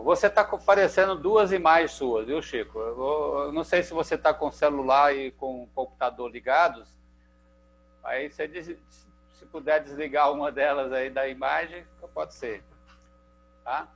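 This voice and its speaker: A man's voice: on the high side, moderate pace. A man with a Brazilian accent hosting an online poetry evening in a chatty, filler-heavy way over a video call. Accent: Brazilian Portuguese. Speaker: host. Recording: dull video call audio with mains hum.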